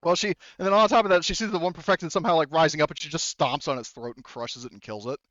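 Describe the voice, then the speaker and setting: A man running a tabletop game as game master, narrating casually and haltingly with informal, sweary phrasing. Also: on the high side, a bit bright, no echo, muffled recording.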